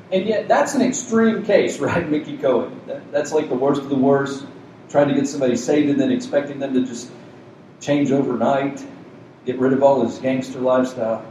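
A man's voice: medium pitch, normal speed, darkish tone, recorded from a distance. A man pastor with a soothing voice delivers a sermon in a conversational, lightly joking way. His voice sounds very echoey and set back from the mic, with a faint noise under it. It was recorded in a community center meeting room. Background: faint hum of a cooling unit.

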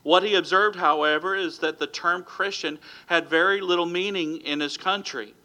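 Man, American pastor, bright edge, earnest sermon delivery with occasional restarts. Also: sits high, fairly even intonation, moderate pace, low-quality recording. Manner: earnest preaching, some restarts and stumbles. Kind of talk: sermon ending in prayer. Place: church sanctuary.

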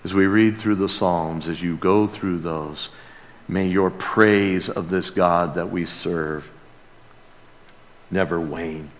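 A man preaching and praying to a congregation, earnest in manner, swelling from hushed to emphatic. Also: sits low, steady tone, slow pace. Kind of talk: sermon and prayer to a congregation. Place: large church room or hall. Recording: reverberant hall sound.

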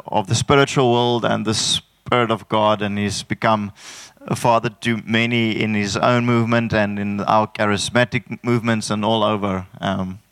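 A man hosting a church service, introducing a guest speaker in a casual, steady way. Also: on the low side, clean tone, no room sound. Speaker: church host.

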